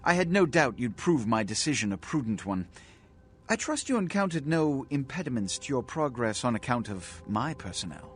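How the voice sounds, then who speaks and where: Pompous cold vampire prince from a video game, very animated pitch, formal and condescending, some music behind. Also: male voice, moderate pace, breathy edge. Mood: smugly commanding. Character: haughty aristocratic vampire prince, cold schemer.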